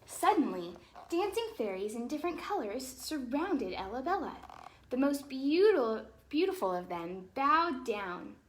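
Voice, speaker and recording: A woman reading a children's storybook in an expressive, animated way, with explanatory asides to young listeners. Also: high voice, measured pace, a little hiss or hum, poor audio.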